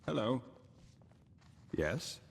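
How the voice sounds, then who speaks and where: An actor playing a thoughtful, self-doubting scholar in a fantasy video game, speaking in an earnest, reflective and articulate way. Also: male voice, medium pitch, sing-song, extremely slow, gravelly, big-room sound, slight background noise, exaggerated voice. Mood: earnest, pensive. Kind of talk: video game character dialogue.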